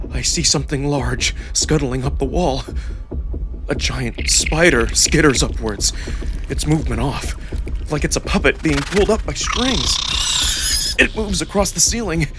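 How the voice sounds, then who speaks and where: A man's voice: mid-range voice, unhurried, background noise, natural voice. A man playing a feverish, fearful young man, narrating his nightmares in an intense, emotional first-person style. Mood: creeping fear.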